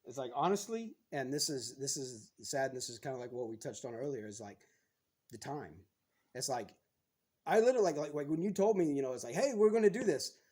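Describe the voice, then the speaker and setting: A man's voice: mid-range voice, very animated, fairly quick, warm tone, breathy edge. A man in his thirties, a guest on a podcast, talking frankly in casual chat and swearing freely as he retells things.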